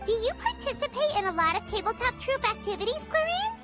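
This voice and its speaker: A woman's voice: sing-song, quick pace, a bit bright, heavily acted. A woman voicing a small floating female sprite companion in a game, bubbly and full of eager questions. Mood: curious. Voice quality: high squeaky voice. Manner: bubbly, wide-eyed questions. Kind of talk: game cutscene voice acting. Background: game music.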